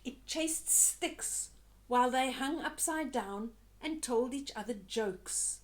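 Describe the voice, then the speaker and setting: A woman's voice: high voice, expressive, slow. An older woman reading a children's story aloud, expressively, with chatty asides to young listeners.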